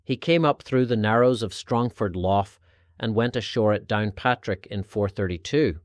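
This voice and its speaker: A man narrating a scripted historical religious article in a formal, measured, oratorical way. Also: on the low side, no room sound. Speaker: narrator reading an article aloud.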